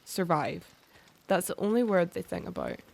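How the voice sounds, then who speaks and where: A woman's voice: lowish voice, sing-song, normal speed, gravelly, heavily acted. An actress playing a restless, wry schoolgirl in an audio drama, with a Northern Irish accent and a musing, youthful manner. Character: restless, wry schoolgirl dreaming beyond survival. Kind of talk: audio drama acting, inner monologue.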